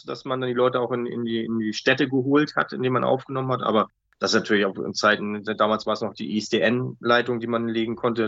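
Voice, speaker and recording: A man talks very quickly in a casual, rambling interview style, full of colloquial turns and tag questions. His middle-pitched voice comes through dry and a little dull, like a lo-fi recording. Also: creaky, fairly bright tone, no echo. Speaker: interview guest, audio drama writer.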